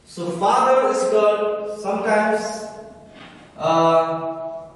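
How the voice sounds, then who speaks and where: A young man with an Indian English accent teaching a class, slow and repetitive, often checking with "isn't it?". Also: high voice. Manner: slow, repeats words, asks "isn't it?". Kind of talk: classroom grammar lesson. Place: classroom at a chalkboard. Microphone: far camera. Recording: echoey room from far off.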